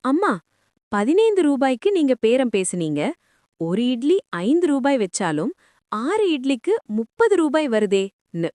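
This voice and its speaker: A woman's voice: high voice, big pitch swings, unhurried, a little mellow, no echo, clean studio sound. A woman voicing a curious, innocent little village girl in a children's story, childlike and questioning. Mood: puzzled.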